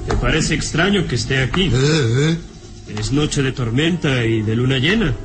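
A man's voice: mid-range voice, animated, moderate pace, fairly bright tone, faint music behind, close to an everyday voice. An actor playing a brusque, haughty man in a radio serial, with a scornful, theatrical delivery. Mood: wry. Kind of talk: Latin American radio serial acting.

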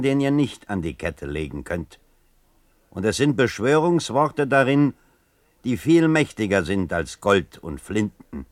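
A man's voice: on the low side, no echo, studio quality. A man reading literary prose as a dramatic recitation, solemn, emphatic and rhetorical. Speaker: reader.

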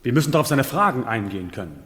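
Amused, coaching male retainer, 1970s radio play, animated, reverberant hall. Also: medium pitch, very fast, a little hiss or hum. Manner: teasing, reassuring. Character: breezy, amused seasoned retainer coaching a newcomer.

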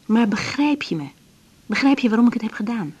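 A woman's voice: on the high side, animated, fairly quick, breathy edge. An actress playing an earnest, troubled young female doctor in a naturalistic radio drama, speaking in a direct, insistent, conversational way. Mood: troubled, pleading. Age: young adult.

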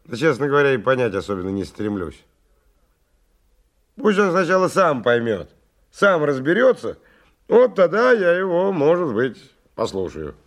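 A man's voice: on the high side, very animated, normal speed, long pauses. An actor playing a weary, wry young lab assistant who tells his story in a colloquial, confiding monologue for a radio play. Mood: musing, wry.

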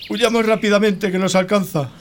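Man playing a high-voiced lazy little pig. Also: steady tone, normal speed, a little hiss or hum, natural voice. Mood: panicked. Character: lazy, fearful young male pig, carefree cartoonish brother. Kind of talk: children's radio play.

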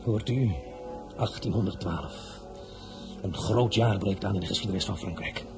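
An actor playing an imperious, boastful French emperor in a historical radio drama, pompous and declamatory, by turns mocking and threatening. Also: male voice, on the low side, moderate pace, music in the background, close to an everyday voice. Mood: cold, menacing. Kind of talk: Dutch-language historical radio drama acting.

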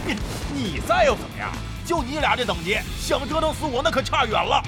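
Audio drama, crass scheming gamer, high animated voice, blustering, loud music bed. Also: male voice, fairly quick, full character voice. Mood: cocky. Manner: swearing, blustering, theatrical. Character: crass, shameless veteran male gamer, cocky schemer.

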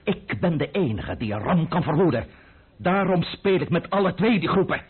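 A middle-aged French count in a radio drama, imperious and blustering. The voice is very rough and husky and swings widely in pitch as he lectures with sardonic impatience. The old recording is muffled and narrow. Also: expressive, normal speed. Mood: exasperated, scolding. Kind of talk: radio play acting.

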